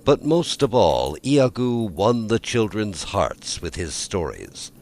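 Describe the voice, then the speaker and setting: A male storyteller with a low voice reads a fairy tale. He takes his time and swings widely in pitch, placing dramatic emphasis on key words. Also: sing-song, slow pace, darkish tone. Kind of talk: scripted fairy tale narration.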